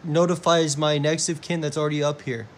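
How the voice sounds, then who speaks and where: A man's voice: fairly high pitch, flat delivery, fairly quick. A man in his twenties with an American accent talking on a phone call in a bedroom, recorded on a phone. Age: 20s. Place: bedroom at home. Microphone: phone at arm's length. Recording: noisy phone video.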